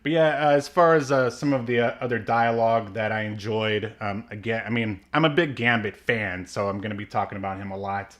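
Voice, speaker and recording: A man's voice: lowish voice, steady tone, a little fast, few pauses, fairly bright tone. A man co-hosting a podcast, rambling along with lots of ums and likes and laughing often. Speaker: podcast co-host. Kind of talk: casual chat.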